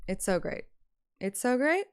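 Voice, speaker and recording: A woman's voice: fairly high pitch, very animated, a little slow, clean tone. A woman livestreamer chatting casually and playfully, often giggly and interrupting herself as she talks to viewers. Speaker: live streamer and fan reader.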